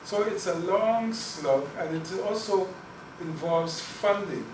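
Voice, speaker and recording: A man's voice: high-pitched, takes it slowly. A man from Guyana giving an academic talk and answering questions, earnest, often repeating words as he thinks. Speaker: guest speaker on Caribbean languages. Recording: echoey room, distant mic, noisy.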